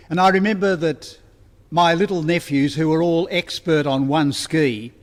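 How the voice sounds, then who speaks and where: A man preaching a sermon as a minister, deliberate and pausing between phrases. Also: a little high. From Australia. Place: church, livestreamed service. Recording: long hall reverberation.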